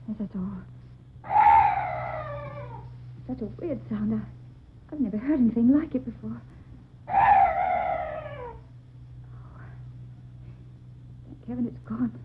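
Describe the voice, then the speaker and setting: Actress as anxious high-voiced woman, tinny old recording. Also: natural voice. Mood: uneasy, lost. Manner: theatrical, exclamatory. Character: anxious woman recounting a ghostly rescue. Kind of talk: old-time radio drama acting. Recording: muffled tinny old radio recording, faint noise.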